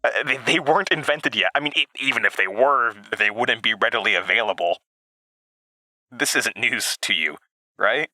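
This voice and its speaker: Audio drama: an agitated, self-doubting man, stammering, forceful bright voice, dry close sound. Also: mid-range voice, no room sound. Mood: anxious, questioning. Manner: stammering, halting, anxiously questioning.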